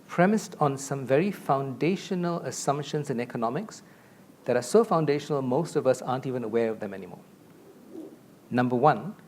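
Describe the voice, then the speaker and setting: A middle-aged man from Malaysia talks through a lapel mic on a conference stage, explaining ideas at length and with conviction. His pitch sits in a plain middle range. A faint low rumble sits under his voice. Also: a little mellow. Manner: expansive, explanatory, gestures a lot while talking. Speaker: panelist. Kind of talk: conference panel discussion. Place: large stage with a curtain backdrop.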